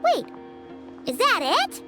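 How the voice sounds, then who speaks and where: A woman's voice: slow pace, music in the background, close to an everyday voice. A woman voicing a tiny childlike companion creature in a video game, chatty, exclamatory and prone to grumbling. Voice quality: squeaky, childlike. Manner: third-person self-reference, exclamatory. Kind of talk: animated video game voice acting.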